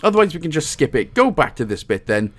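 A man's voice: on the high side, sing-song, fast talker. A man with a Welsh accent giving casual, chatty, slangy walkthrough commentary on a video game as the guide's host. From Wales.

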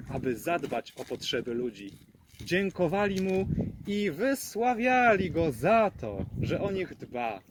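A high-voiced man tells a children's story with big melodic swings. His delivery is slow and dramatic, with drawn-out words. There is clear noise behind him, as if outdoors.

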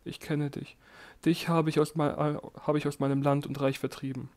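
A man voicing a frightened, dying old emperor in a storybook reading, calling out in a high, strained voice. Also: level tone, moderate pace, a little mellow, lightly acted. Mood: grateful. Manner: high strained cries, pleading.

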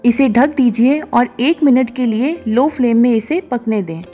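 A woman calmly narrating a cooking recipe step by step as a voice-over, slipping English words into her sentences. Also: a little high, measured pace. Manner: calm, instructive, mixes English words into Hindi. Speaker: cooking show host. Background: soft background music.

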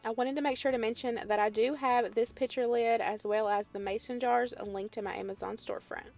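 A woman's voice: a little high, fairly even intonation, brisk, gravelly, a little mellow, soft background music. A woman in her thirties with a Southern US accent, casually explaining her home cooking in a voice-over. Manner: chatty, friendly how-to explaining with "y'all" and "um". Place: home kitchen.

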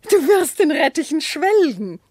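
An actress playing a distrustful, sharp-tongued wife in a radio play, speaking precisely and sceptically. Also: female voice, high voice, very animated, unhurried, dry recording. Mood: biting. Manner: pointed, sceptical, precise diction.

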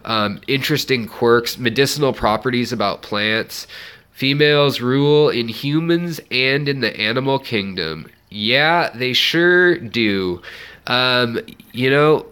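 A man's voice: medium pitch, animated, takes it slowly, bright, forceful tone, clean tone. A young man with a stuffed-up nose, chatting casually and rambling on a home live stream beside his fish tank. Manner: rambling, chatty, many fillers. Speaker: aquarium channel host.

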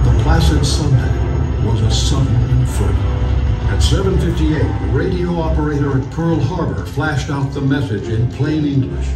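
A man delivering scripted history narration in a measured, dramatic way, with short deliberate phrases. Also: on the high side, recorded from a distance. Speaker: documentary narrator. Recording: roomy playback from afar under loud music. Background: loud music.

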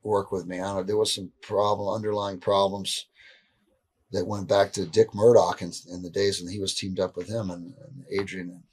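A middle-aged man as an interview guest, telling stories in a rambling, animated way with frequent restarts, seated indoors. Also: sits low, moderate pace, husky. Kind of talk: casual storytelling in a shoot interview. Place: indoor room, seated on a leather couch.

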